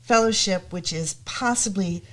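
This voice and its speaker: A woman's voice: medium pitch, unhurried, fairly bright tone. A woman from the United States, chatting as a guest on a live show, hesitant with many ums but enthusiastic. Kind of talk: casual chat.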